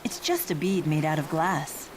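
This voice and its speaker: A woman's voice: deep voice, animated, normal speed, slight background noise. A woman playing a dignified, earnest spirit lord in a video game, composed, formal and matter-of-fact. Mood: wistful, composed.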